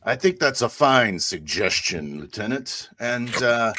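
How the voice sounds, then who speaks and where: A man's voice: medium pitch, expressive, measured pace, pushed and bright, a little hiss or hum. A man improvising as a devout, genteel inquisitor priest in a tabletop roleplaying game, with a hesitant, insinuating manner. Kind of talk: improvised in-character roleplay and narration.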